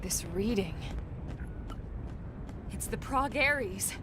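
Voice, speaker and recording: A woman's voice: mid-range voice, expressive, slow, background noise. An actress playing a serious, decisive female military squad leader in a video game cutscene, businesslike and focused. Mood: focused, commanding. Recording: game music behind the voice.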